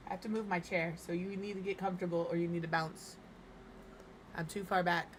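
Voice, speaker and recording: A woman from Minnesota streaming from home, chatting casually and rambling, her voice slightly hoarse and crackly as it recovers. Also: lowish voice, fairly even intonation, fairly quick. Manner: rambling, chatty, self-deprecating. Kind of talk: casual chat while gaming.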